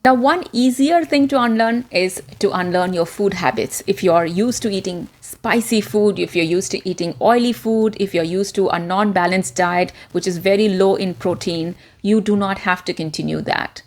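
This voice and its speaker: A woman presenter with an American-influenced transitional accent, giving a deliberate, clearly spoken self-help talk to camera. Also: mid-range voice, darkish tone.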